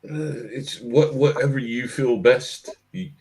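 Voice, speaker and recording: A man chatting casually on a livestream, asking and commenting in a hesitant, halting way. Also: medium pitch, a little mellow. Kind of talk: casual chat.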